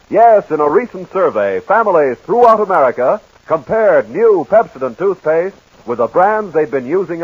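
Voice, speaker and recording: A man's voice: fairly high pitch, breathy edge, no echo, narrow, tinny audio. A man reading a 1940s radio commercial in a punchy, salesy way. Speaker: commercial announcer.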